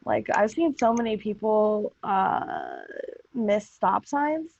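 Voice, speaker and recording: A woman's voice: mid-range voice, lively intonation, slow pace, gravelly, a little mellow, dry recording, dull audio. A young American woman chatting casually, with slangy, freely swearing talk. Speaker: podcast cast member. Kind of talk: casual chat.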